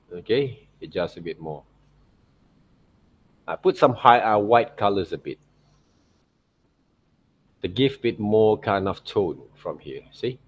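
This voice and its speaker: A man giving an unscripted step-by-step tutorial as an art teacher, halting and repetitive, often checking in with short tag words. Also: on the low side, slightly rough. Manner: halting, repetitive phrasing with frequent "okay, right, see" checks. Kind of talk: unscripted step-by-step drawing demonstration.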